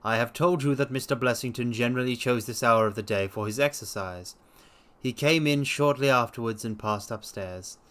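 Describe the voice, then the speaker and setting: Serious Victorian physician played by a man, formal wording, measured delivery, middling pitch, detective story narration. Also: a bit bright, lightly acted. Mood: sober, concerned. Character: sober Victorian doctor recounting a strange incident.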